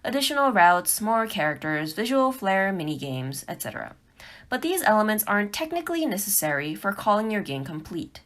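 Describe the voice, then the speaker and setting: A woman's voice: medium pitch, very animated, fairly quick, fairly bright tone. A woman giving a scripted tutorial talk for beginners, phrasing her points carefully and with emphasis. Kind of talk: scripted instructional narration.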